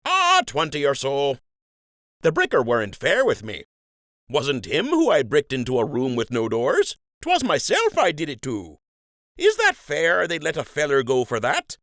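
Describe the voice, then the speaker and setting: A man's voice: on the high side, huge pitch swings, a little slow, fairly bright tone, no echo, studio quality, voice pushed up, exaggerated voice. A man voicing a rambling, cheerful rabbit dock worker who talks in a folksy, ungrammatical country dialect.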